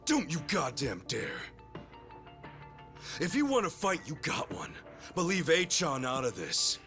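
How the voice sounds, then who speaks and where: An earnest, hot-blooded video game hero in a bright, forceful voice. His pitch sits fairly high and leaps about freely, with faint music underneath. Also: measured pace, full character voice. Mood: fiery defiance. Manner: blunt, expressive, earnest. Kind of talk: cinematic video game acting.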